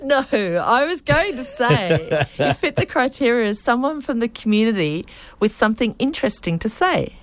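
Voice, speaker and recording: A woman's voice: on the high side, sing-song, normal speed. Chatty laughing woman host. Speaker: podcast host. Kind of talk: casual interview chat.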